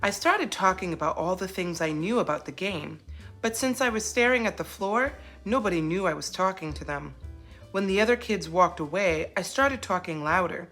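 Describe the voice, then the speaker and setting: A woman's voice: lowish voice, expressive, normal speed, fairly bright tone, soft background music. A woman voicing an upset, sensitive young boy zebra in an earnest, plaintive storybook telling. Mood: troubled.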